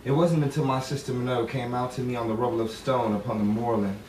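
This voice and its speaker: A man's voice: mid-range voice, fairly even intonation, darkish tone, natural voice. Actor playing a tormented lovelorn man, roomy sound. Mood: mournful brooding. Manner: halting, repetitive, theatrical brooding. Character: grief-stricken, lovelorn brooding man tormented by lost love. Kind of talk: 1950s-style romantic drama monologue and dialogue. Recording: roomy, distant, faintly noisy stage sound.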